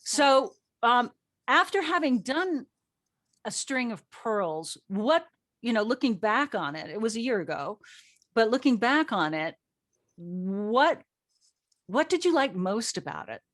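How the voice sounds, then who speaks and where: A woman's voice: on the high side, big pitch swings, measured pace, a bit bright. A woman in her sixties hosting a casual panel chat in a joking, self-deprecating way. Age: 60s. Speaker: host, audio drama writer and director.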